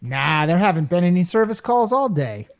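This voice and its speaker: Wry working-stiff repairman, male actor: high-pitched, casual, cocky old-radio comedy delivery. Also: sing-song, normal speed, vocal fry, heavily acted. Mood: wisecracking. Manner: wisecracking, casual. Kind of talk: retro radio comedy acting with narration.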